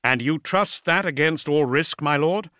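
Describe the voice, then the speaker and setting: A man's voice: on the high side, a little slow, bright, forceful tone, dry recording, clean studio sound, voice pushed up. A man voicing a suspicious, dutiful military commander in an audiobook, urgent yet deferential. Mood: wary, urgent.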